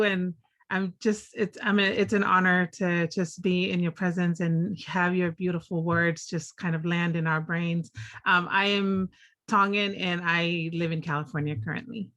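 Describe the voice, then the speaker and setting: A woman introducing herself on a video call, gushing with admiration and slightly halting. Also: fairly low pitch, level tone, a bit bright, dry recording. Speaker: book club member.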